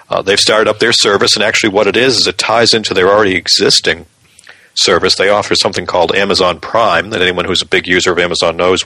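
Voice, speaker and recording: A man's voice: low voice, pushed and bright, no room sound, low-quality recording. A man co-hosting a podcast, explaining things in a casual, conversational style. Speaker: podcast co-host.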